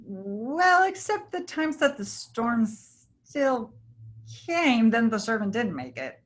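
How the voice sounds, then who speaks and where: A woman's voice: fairly high pitch, sing-song, slow pace. A woman playing a breezy, self-assured village elder in a staged play reading, dispensing prophecy like a storyteller. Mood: breezy, blithe.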